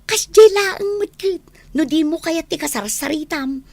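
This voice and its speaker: A woman's voice: high-pitched, unhurried, creaky, darkish tone. A woman acting a vain, defiant young phone lover in a radio drama, flippant and pouty with an Ilocano accent.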